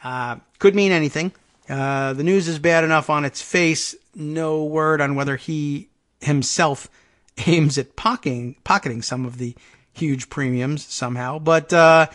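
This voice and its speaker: Male talk-show host, slightly higher voice, reads headlines and adds dry asides, halting delivery with frequent ums. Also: a bit bright. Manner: halting, lots of ums and restarts, wry asides. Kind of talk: casual news commentary, reading headlines aloud.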